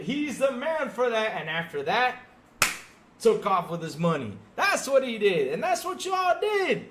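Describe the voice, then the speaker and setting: Male gaming podcaster, higher voice, very animated delivery, emphatic and sarcastic live rant. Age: middle-aged. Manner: emphatic, sarcastic, mimics fans mockingly. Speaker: podcast host.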